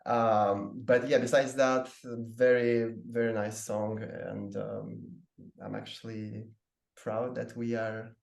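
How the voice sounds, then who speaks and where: A man from Moldova, a native Romanian speaker, chatting casually as a panelist and pausing often with fillers. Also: on the low side, flat delivery, vocal fry, darkish tone, echoey room. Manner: hesitant, many fillers.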